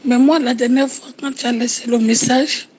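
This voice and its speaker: A woman's voice: a little high, fairly even intonation, moderate pace, a little mellow, a little hiss or hum. A woman with a cold leaving a chatty voice message, explaining herself. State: has a cold.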